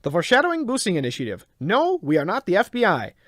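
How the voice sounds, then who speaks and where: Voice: medium pitch, wildly swooping pitch, quick pace, fairly bright tone, no echo. A performer playing a bombastic, mock-serious narrator of a tongue-in-cheek superhero radio serial. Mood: brisk, mock-serious.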